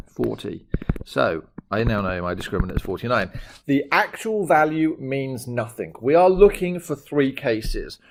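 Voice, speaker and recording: A man's voice: medium pitch, background noise. Chatty, emphatic male maths teacher. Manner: chatty, jokey, emphatic explaining. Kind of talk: recorded maths lesson.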